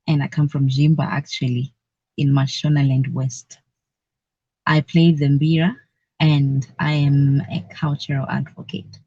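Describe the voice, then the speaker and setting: A woman's voice: rumbling low, little pitch movement, unhurried, dark tone, husky, dry recording. Woman, talkative radio guest with frequent laughter, recorded dry and slightly dull. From Zimbabwe. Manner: chatty, laughs often between phrases. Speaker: guest, cultural advocate. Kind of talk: conversational radio interview.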